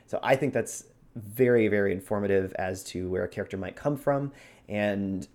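A man co-hosting and teaching tabletop character voice tips in a conversational, animated way. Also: fairly low pitch, normal speed. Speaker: podcast co-host giving roleplay tips.

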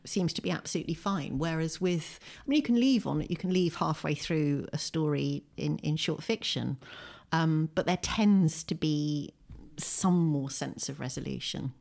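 A British woman in middle age speaks in a low-pitched voice. She talks as a guest in a relaxed interview, thinking aloud and telling small anecdotes. Her delivery is wry and gently self-mocking. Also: moderate pace, gravelly. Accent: British English. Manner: wry, self-deprecating humour, chuckles while talking. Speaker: poet and short story writer, podcast guest. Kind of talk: conversational interview, reflective and anecdotal. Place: at home, indoors.

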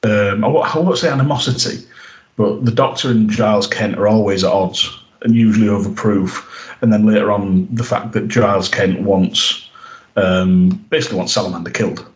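A man's voice: on the low side, steady tone, moderate pace, echoey room, muffled recording. A man co-hosting a podcast, recapping an episode in casual chat, rambling with lots of "you know" and "uh". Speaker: podcast co-host.

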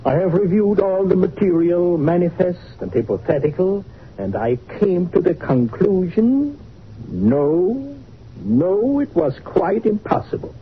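An actor playing an older, learned Viennese psychoanalyst in a 1940s radio drama, speaking in a deliberate, formal, self-important way. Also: male voice, high voice, lively intonation. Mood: measured, clinical. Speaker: psychoanalyst character. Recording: muffled, noisy old radio recording.

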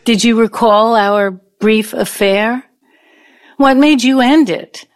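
A woman's voice: on the high side, slow. An actress playing a bitter, incredulous ex-girlfriend, blunt and sardonic, in an audio play. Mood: wistful, hurt. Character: bitter, incredulous middle-aged ex-girlfriend, a pianist.

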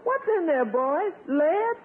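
High-pitched sassy household cook. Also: female voice, very animated, slow pace, heavily acted. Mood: sassy, incredulous. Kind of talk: 1950s radio situation comedy acting. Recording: noisy, narrow, tinny 1950s radio recording.

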